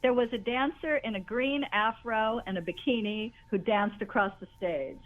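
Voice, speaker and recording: An older woman with a naturally high voice talks casually as an interview guest. She rambles, restarts her sentences and laughs often. The audio is a bit dull and lo-fi. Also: normal speed.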